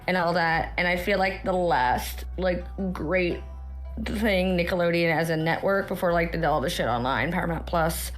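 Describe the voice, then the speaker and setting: An American woman in her thirties with a hoarse voice, rambling and swearing freely in casual chat as a podcast guest. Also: lowish voice, moderate pace, faint music behind. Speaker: actor.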